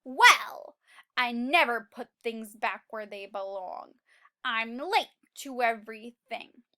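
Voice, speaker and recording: A woman's voice: a little high, takes it slowly, sharp tone, voice pushed down, heavily acted. A woman voicing a grumpy, boastful little male seed in a storybook, confessing bluntly and with emphasis. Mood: brazen, boastful. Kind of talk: storybook read-aloud.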